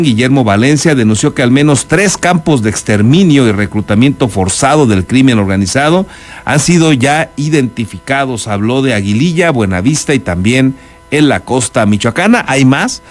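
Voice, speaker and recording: A man's voice: mid-range voice, lively intonation, moderate pace. A man with a Mexican accent anchoring a news programme, mixing reports with spoken commentary. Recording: music bed behind the voice. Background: background music.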